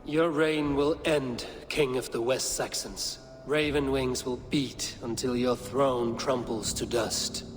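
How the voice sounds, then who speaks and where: A man's voice: medium pitch, steady tone, takes it slowly, few pauses, close to an everyday voice. Man playing proud Viking warrior, echoing space. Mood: defiant, menacing. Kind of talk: video game cutscene acting. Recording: reverberant, music behind.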